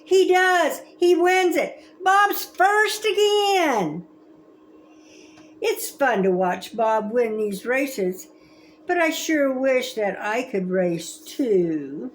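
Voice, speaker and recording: A woman in her seventies reading a children's story aloud in a gentle, expressive, grandmotherly way. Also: sits high, extremely slow, poor audio. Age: 70s. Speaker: storybook reader.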